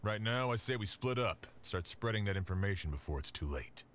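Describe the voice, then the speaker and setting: An actor playing a steady lawman who commands guards, calm and decisive. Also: lowish voice, brisk, slight background noise. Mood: firm.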